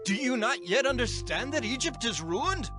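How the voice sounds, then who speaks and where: Exasperated court servant: high, animated pitch, urgent, music underneath. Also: male voice, normal speed. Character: alarmed, exasperated royal servant pleading with his king. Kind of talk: dramatized scripture audio acting.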